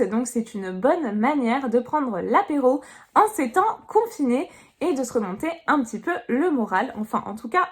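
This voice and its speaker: A woman from Nice, France, chatting casually through a product unboxing review in an upbeat way with frequent fillers. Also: sits high. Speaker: box reviewer.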